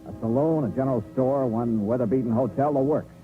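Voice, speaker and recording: A man's voice: medium pitch, a little fast, natural voice. An American man playing a wry, easygoing private investigator in a 1950s radio detective drama, with dry first-person delivery. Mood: wry, matter-of-fact. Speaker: narrator and lead actor. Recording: old tinny radio recording, music behind.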